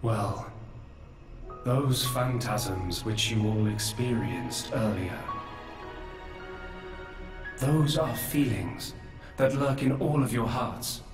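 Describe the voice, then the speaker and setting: Ancient godlike old man, low-pitched, solemn, world-weary, philosophical, game cutscene, roomy echo. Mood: solemn, reflective. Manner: grave, measured, philosophical. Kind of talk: dramatic video game cutscene acting. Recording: roomy echo, distant, music behind.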